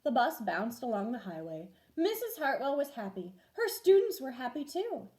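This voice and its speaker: Expressive, high-voiced woman reading a storybook. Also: normal speed. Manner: expressive, clearly paced for children. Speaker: first grade teacher reading stories aloud. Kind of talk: children's storybook read-aloud.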